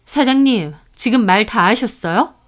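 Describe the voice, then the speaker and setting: A woman's voice: fairly high pitch, lively intonation, normal speed, lightly acted. A woman voicing a fierce older sister figure who confronts others firmly and sharply. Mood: angry.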